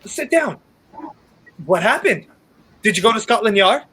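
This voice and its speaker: A young man playing a determined, quick-thinking hero on the run in a 1930s-style radio play. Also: sits high, very animated, fairly bright tone, breathy edge, heavily acted. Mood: guarded, curt. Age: young adult.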